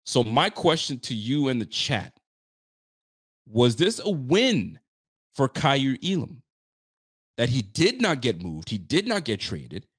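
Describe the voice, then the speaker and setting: A man in his late thirties hosting a casual sports talk show, animated and chatty, often repeating himself. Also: mid-range voice, takes it slowly, long pauses, husky, no room sound, studio quality. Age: 30s. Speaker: sports podcast host.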